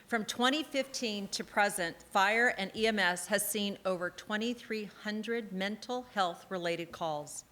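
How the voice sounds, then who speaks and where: Woman council member reading her award speech on stage, earnest and measured, normal pitch, podium mic in a theatre. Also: a bit bright. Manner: earnest, emphatic, measured delivery. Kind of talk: prepared ceremony speech.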